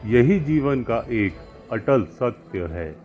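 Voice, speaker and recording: Man playing a slow, measured wise holy man. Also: mid-range voice, lively intonation, darkish tone. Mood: philosophical, instructive. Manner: measured, didactic, deliberate pauses. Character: calm, wise village holy man giving counsel. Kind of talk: acted moral-story dialogue. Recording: muffled lo-fi with music behind.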